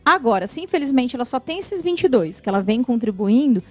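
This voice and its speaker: A Brazilian woman answering viewers' questions about benefits in a TV interview, explaining patiently and clearly, often adding "né". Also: fairly high pitch, very animated, a little fast, faint music behind. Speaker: social security lawyer guest. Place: TV studio.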